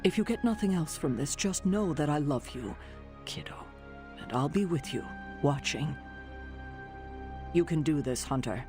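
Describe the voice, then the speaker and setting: An actor playing a loving fatherly man who gently reassures his grown child in a game cutscene. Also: a little high, expressive, a little slow, pauses often, music in the background. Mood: tender.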